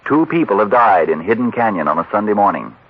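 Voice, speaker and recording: A male radio announcer in 1940s style, narrating and pitching with polished, emphatic salesmanship. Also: very animated, fast, husky. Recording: muffled old radio recording.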